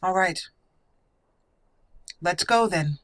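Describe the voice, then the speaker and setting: A woman roleplaying a self-assured young female mage recruit in a fantasy game, speaking in a formal, measured way. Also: lowish voice, dry recording. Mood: composed, confident.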